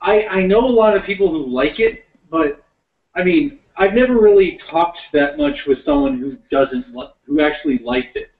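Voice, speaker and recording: A man's voice: a little high, animated, moderate pace. A man chatting casually as a podcast panelist, heard over a tinny, echoey, distant call. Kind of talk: casual chat.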